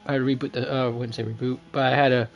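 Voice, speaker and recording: A man livestreaming a video game, chatting casually in a halting, rambling way. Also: mid-range voice, flat delivery, vocal fry, highs cut off, soft background music. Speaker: game streamer. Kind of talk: casual livestream chat over gameplay.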